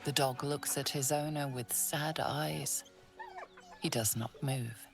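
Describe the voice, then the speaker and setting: A knowing female narrator of a fantasy game. Her voice sits low with a creaky grain and trails into breath as she unfolds the story solemnly. Clear music plays behind her. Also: fairly even intonation, full character voice. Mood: solemn, hushed. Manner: measured, solemn, suspenseful storytelling. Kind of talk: dramatic scripted second-person narration. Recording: studio voice over background music.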